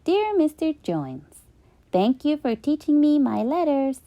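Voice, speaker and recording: A woman's voice: high voice, very animated, slow, mellow, muffled recording, voice pushed up, heavily acted. A woman voicing a cheerful little girl reading her thank you letters in a children's storybook, with a sing-song manner. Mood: cheerful, grateful. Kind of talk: children's storybook read-aloud.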